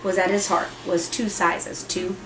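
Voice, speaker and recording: A woman's voice: medium pitch, level tone, heavy background noise. Woman reading a storybook in an echoey room. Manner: dramatic emphasis and drawn-out repetition. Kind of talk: expressive children's storybook read-aloud. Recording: echoey room, music and effects behind. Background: music and sound effects from an app.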